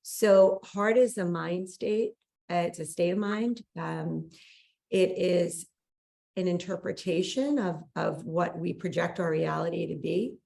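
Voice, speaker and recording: A woman's voice: on the low side, steady tone, measured pace, vocal fry, dark tone. A woman hosting a call, giving an effusive, emphatic motivational introduction. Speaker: call host.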